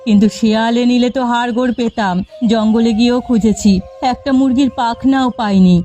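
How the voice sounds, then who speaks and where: A woman voicing a scolding, indignant old village matriarch in an animated folk tale. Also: a little high, fairly quick, soft background music, lightly acted. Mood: aggrieved. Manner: scolding, accusatory.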